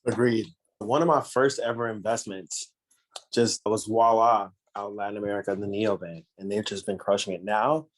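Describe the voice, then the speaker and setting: A man hosting a casual podcast interview, talking in a relaxed way with casual slang. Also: fairly low pitch, moderate pace, rough recording. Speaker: podcast host.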